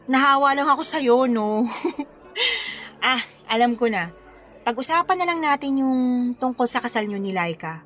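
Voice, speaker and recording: An actress playing a coy, smitten forty-year-old wedding planner who playfully protests in a romantic radio drama. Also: female voice, sits high, expressive, measured pace, pauses often, fairly bright tone, soft background music.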